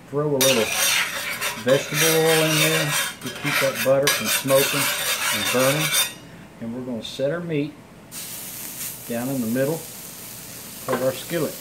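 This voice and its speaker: A man's voice: medium pitch, very noisy. A middle-aged man, chatting folksily while demonstrating a recipe in his home kitchen. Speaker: home cooking show host. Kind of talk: casual cooking demonstration. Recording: sizzling frying pan behind.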